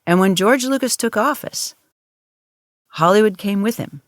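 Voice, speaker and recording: A woman narrator giving a solemn, measured, dramatic documentary-style narration for an audio drama. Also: mid-range voice, dry recording, clean studio sound. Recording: clear music behind.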